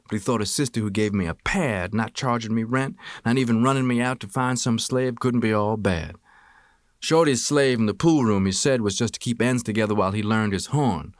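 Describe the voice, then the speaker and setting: An American man reading a first-person memoir as an audiobook narrator, with expressive, measured storytelling. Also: on the low side, no room sound, studio quality.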